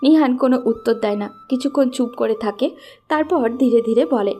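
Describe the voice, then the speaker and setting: A woman's voice: sits high, normal speed, mellow, soft background music. A woman narrator reading a scripted love story.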